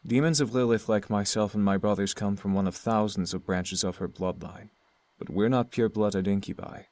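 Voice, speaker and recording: An actor playing a gentle young male incubus prince, speaking calmly and affectionately to his fiancée. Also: lowish voice, normal speed, no room sound, natural voice. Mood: explaining. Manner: calm, soft-spoken and affectionate. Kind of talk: visual novel voice acting.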